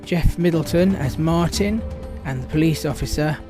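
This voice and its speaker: A man's voice: fairly high pitch, normal speed, over music. A man reading out scripted cast credits as an announcer for a radio drama.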